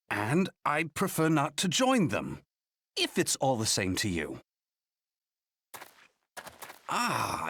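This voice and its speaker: An actor playing a wry, gallant protector in a fantasy video game, with a courtly, dryly witty way of talking. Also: male voice, fairly high pitch, fairly bright tone, no room sound, clean studio sound, heavily acted. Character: wry, gallant male protector of a young noblewoman. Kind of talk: scripted video game cutscene acting.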